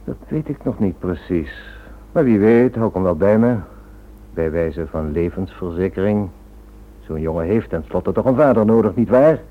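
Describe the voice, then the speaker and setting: A man playing a smug, cynical criminal schemer in a radio play, suave and mock-polite with quiet menace. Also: sits low, lively intonation, moderate pace. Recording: thin narrow-band old radio drama recording.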